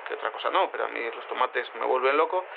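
Tinny-sounding, hesitant cat-turned-human. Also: male voice, medium pitch, expressive, quick pace, gravelly, slight background noise. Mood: flustered, defensive. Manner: hesitant, rambling, self-contradicting, casual. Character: quantum cat turned bearded human, rambling, naive, vain. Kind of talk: fictional news interview in an audio drama.

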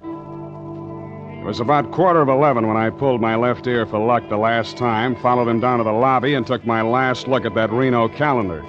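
Actor as a deadpan, low-voiced hard-boiled sea captain. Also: male voice, moderate pace, natural voice. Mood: wry narration. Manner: deadpan, wisecracking. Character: tough, wry ship's master telling his own adventure. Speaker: actor narrating and playing the hero. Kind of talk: 1940s radio noir acting, hard-boiled narration. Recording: muffled 1940s radio recording with music.

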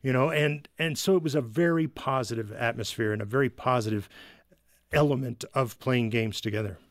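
Middle-aged male podcast guest-reviewer, mid-pitched, casual and halting, very dry recording. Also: no room sound. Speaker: video game reviewer, podcast regular. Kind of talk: casual conversational discussion.

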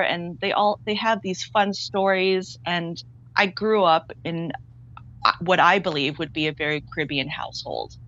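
A woman filmmaker being interviewed remotely, speaking candidly and haltingly with frequent restarts. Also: fairly low pitch, fairly bright tone, dull audio. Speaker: filmmaker guest. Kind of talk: interview over a remote link.

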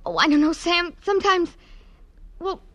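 High-voiced mousy secretary. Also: female voice, animated, moderate pace, a bit bright. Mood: hesitant, disapproving. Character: loyal, mousy female secretary, resigned and disapproving. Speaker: secretary in a radio detective drama. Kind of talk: 1940s radio acting.

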